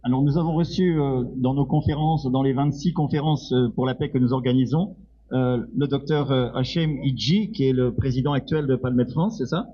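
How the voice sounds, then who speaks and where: A man's voice: medium pitch, normal speed, mellow. A man acting as host and organiser, introducing a guest and putting questions. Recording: muffled sound with faint background noise.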